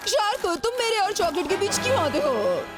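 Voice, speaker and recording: A woman's voice: very high-pitched, big pitch swings, normal speed. A woman voicing a pampered young mermaid in a Hindi cartoon dub, pouty and coquettish. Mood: peeved. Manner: pouty, indulgent, exclamatory. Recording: loud music under the voice.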